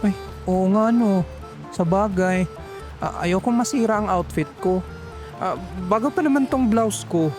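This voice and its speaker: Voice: mid-range voice, unhurried, pauses often, over music, natural voice. A performer playing a sassy, flamboyant call center agent who complains in dramatic slang. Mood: grumbling. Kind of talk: horror story dialogue.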